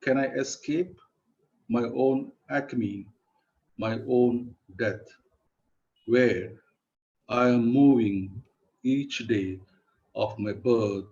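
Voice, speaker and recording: A man recites poetry with solemn emphasis, extremely slowly, pausing between short phrases. His pitch is average for a man. The sound is dull and lo-fi, like an online call. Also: warm tone. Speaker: poet reciting at an online event.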